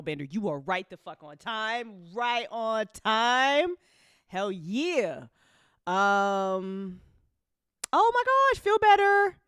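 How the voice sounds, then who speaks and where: A woman hosting a casual livestream, effusive and excitable, repeating phrases and swearing freely. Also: on the low side, smooth, dry recording, studio quality. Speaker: host and voice actor.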